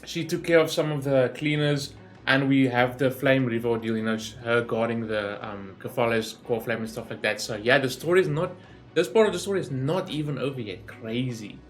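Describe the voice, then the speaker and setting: A man's voice: mid-range voice, normal speed, soft background music. A man giving casual, rambling game reaction commentary in an informal way, as a gaming YouTuber.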